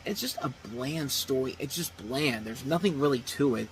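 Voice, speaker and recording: A man's voice: mid-range voice, animated, normal speed, slight background noise, poor audio. Thirty-something man rambling through a review. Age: 30s. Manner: rambling, restarts, repeats phrases. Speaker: movie reviewer host. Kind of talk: casual unscripted review talk.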